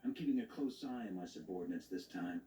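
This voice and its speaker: A stern grandmaster of knights in a video game. He is measured and wry, with a lowish, very varied voice. The sound is echoey. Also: animated, dark tone, recorded from a distance, a little hiss or hum. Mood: reflective. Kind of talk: dramatic video game voice acting.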